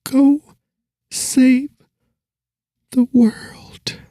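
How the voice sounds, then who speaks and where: A man voicing a wounded young girl, wise beyond her age, with a thin, fading voice and slow, solemn, labored delivery in an audiobook story. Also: very high-pitched, level tone, mellow, no echo, voice pushed up, heavily acted. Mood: fading, tender.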